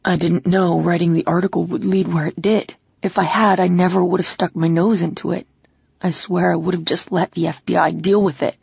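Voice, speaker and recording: A remorseful, commanding man, read by a woman narrator, speaks in a low, steady, pleading way. The voice is low, husky and gravelly. The recording sounds dull and dry. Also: a little fast, dry recording, heavily acted. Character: remorseful, commanding man explaining his faked death.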